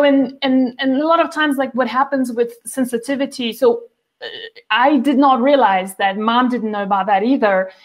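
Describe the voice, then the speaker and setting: A woman in her forties, a guest on a video call interview, talking chattily with many fillers and restarts. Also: a little high. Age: 40s. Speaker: guest, nutrition author. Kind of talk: casual interview talk. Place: home, by a window with plants behind.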